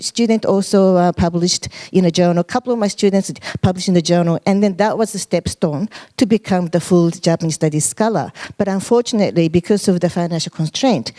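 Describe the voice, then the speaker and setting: A woman's voice: on the low side, dark tone. A woman making a hesitant comment from the floor at an academic conference, with many fillers and restarts. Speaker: conference fellow and association president. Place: conference room.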